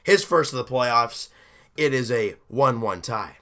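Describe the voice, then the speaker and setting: Hoarse young male fan host, punchy bright delivery, excitable recap. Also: medium pitch, unhurried. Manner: excited, rambling, self-interrupting. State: hoarse, deep rough voice after a late night. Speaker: hockey fan video host. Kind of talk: casual game recap.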